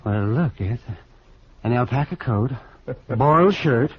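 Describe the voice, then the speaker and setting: An actor playing a jovial, animated frontier town doctor in a 1950s radio western. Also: male voice, medium pitch, measured pace, breathy edge. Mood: amused. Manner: animated, chuckling. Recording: dull, noisy old radio recording.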